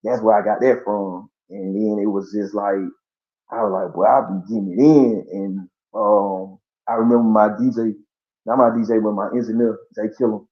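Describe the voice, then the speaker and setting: Laid-back low-voiced rapper. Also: male voice, steady tone, normal speed. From Columbus, Georgia. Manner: laid-back storytelling with slang and fillers. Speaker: guest artist. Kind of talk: casual interview chat. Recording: dull lo-fi podcast audio.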